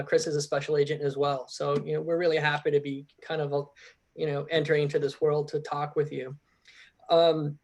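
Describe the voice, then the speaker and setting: A man in his forties, a diplomat on a panel, talking through his career with frequent false starts. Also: on the high side. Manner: hesitant, many restarts. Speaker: diplomat in residence. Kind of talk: panel introduction.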